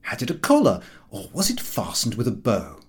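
A man reading a comic novel as scripted narration, in an expressive, theatrical storytelling manner. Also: medium pitch, normal speed. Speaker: audiobook reader.

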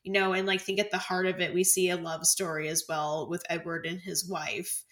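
A woman with a somewhat low-pitched voice talks through a film as a co-host. Her delivery is casual and conversational, wandering as she explains what she thinks. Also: flat delivery, moderate pace, fairly bright tone. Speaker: podcast co-host discussing a film.

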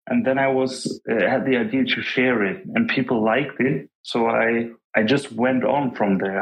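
A young man in his twenties from Germany, talking at an ordinary middle pitch. He answers interview questions humbly and hesitantly, often restarting his sentences. He sounds like he is on a remote call mic. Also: poor audio. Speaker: guest artist. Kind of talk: podcast interview.

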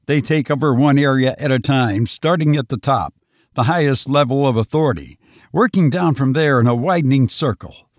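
A man's voice: mid-range voice, moderate pace, no echo, studio quality, lightly acted. A man voicing a frantic, exhausted man who has fled invaders, speaking breathlessly and urgently.

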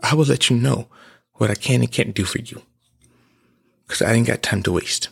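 A man's voice: medium pitch, moderate pace, vocal fry, fairly bright tone, breathy edge. A man in his twenties giving an emphatic, preachy personal monologue as a podcast host. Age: 20s.

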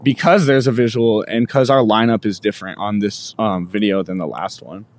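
A young man from Alberta, a musician guest, talking in a relaxed, rambling way full of fillers. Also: on the low side, moderate pace, dry recording. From Alberta, Canada. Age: young adult. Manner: laid-back, rambling, with many fillers. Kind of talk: casual interview chat.

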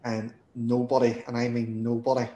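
A man with a Northern Irish accent hosting a podcast and asking questions in a relaxed, conversational way. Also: mid-range voice, moderate pace, echoey room. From Northern Ireland. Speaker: podcast host. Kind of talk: casual interview.

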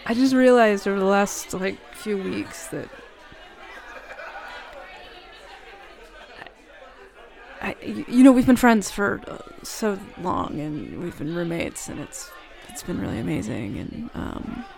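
A woman playing a flustered, smitten, jealous roommate in an intimate roleplay audio, speaking haltingly and nervously. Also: medium pitch, big pitch swings. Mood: nervous, earnest. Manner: halting, nervous, stammering with breathy laughs. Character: flustered, smitten, jealous bad-girl roommate confessing a crush. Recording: bar crowd murmur behind voice.

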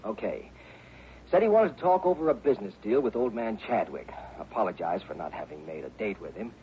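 A man's voice: fairly low pitch, expressive, a little fast, natural voice. An actor playing a harried, chatty American insurance company man in a 1960s radio drama, agitated and exasperated as he talks. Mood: animated, gossipy. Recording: muffled, hissy old radio broadcast.

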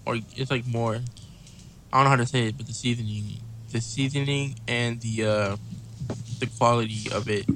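A man's voice: mid-range voice, fairly even intonation, moderate pace, fairly bright tone, slight background noise. A man co-hosting a casual podcast, laid-back and hesitant, often repeating words as he thinks. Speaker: podcast co-host.

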